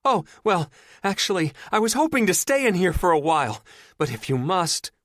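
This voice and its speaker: A man's voice: extremely high pitch, takes it slowly, a bit bright, no echo, studio quality, pitched above the performer's own voice, exaggerated voice. A man reading an audiobook voices a frightened woman trapped in an elevator, calling out desperately for help. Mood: wry.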